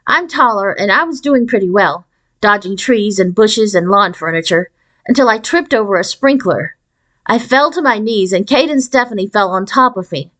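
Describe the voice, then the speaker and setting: Female reader for a children's chapter book, mid-range voice, expressive narration. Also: medium pitch, normal speed. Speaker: audiobook reader. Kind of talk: expressive read-aloud of a children's novel.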